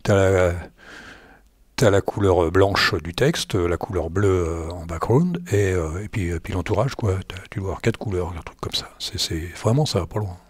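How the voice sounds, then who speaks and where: A man's voice: low voice, moderate pace, husky, no room sound. A man giving loose, slangy commentary over a screen recording, often repeating himself and joking at his own expense. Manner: rambling, repeats words, slangy and self-mocking. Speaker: tech blogger.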